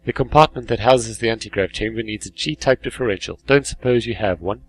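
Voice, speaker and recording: A man's voice: mid-range voice, fairly quick, husky, low-quality recording. A man reading the part of a friendly runaway robot in a game, informal and easygoing. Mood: asking.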